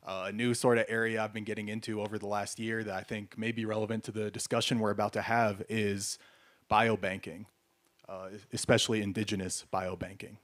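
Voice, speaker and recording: A man introducing himself as a researcher on a stage panel, speaking in a measured way into a handheld mic. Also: fairly low pitch, a bit bright, dry recording. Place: large hall stage before an audience.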